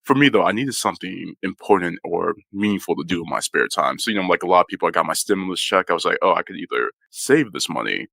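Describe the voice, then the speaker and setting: Young male podcast guest, low gravelly voice, quick rambly delivery, dry close sound. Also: no echo. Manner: relaxed, rambling, many "you know" fillers. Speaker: photographer guest. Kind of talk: casual interview conversation.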